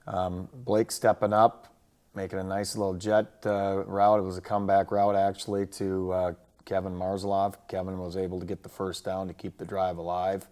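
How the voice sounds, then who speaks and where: A man who coaches football, casually recapping a game and its highlights in colloquial coaching slang. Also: level tone, moderate pace, darkish tone.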